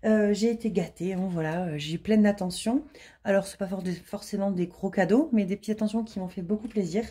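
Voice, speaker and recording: A woman in her late thirties chatting casually to camera at home about her monthly budget, laughing often and filling pauses with lots of hesitations. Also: medium pitch, dark tone. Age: 30s. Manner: chatty, self-deprecating, laughs often, many fillers. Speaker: budgeting vlogger. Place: home, indoors.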